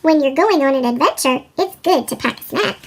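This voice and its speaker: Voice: high voice, expressive, brisk. A storyteller with a sped-up chipmunk voice effect, reading a children's picture book expressively. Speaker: read-aloud storyteller.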